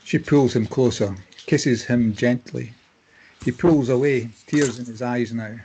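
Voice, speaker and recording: A man's voice: mid-range voice, measured pace, dark tone, slight background noise, rough recording. A man reading stage directions as narrator, in scripted dramatic present-tense narration.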